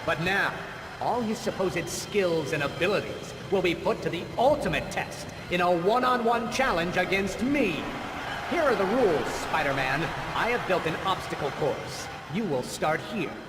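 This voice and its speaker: A man's voice: high voice, expressive, normal speed, noisy background. An actor playing a boastful, theatrical showman villain with a grudge in a video game. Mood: grandiose, taunting. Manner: pompous, theatrical.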